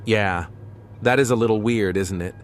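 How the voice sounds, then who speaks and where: Man as gentle adult son, lower voice, audio drama scene with background hum. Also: normal speed, close to an everyday voice. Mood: tender, bemused. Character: gentle adult son reunited with his mother.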